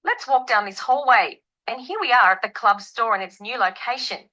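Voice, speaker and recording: Actress as female tour guide, highish pitch, bright clear-cut tone, welcoming, proud, scripted monologue. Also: moderate pace. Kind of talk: scripted listening-test monologue.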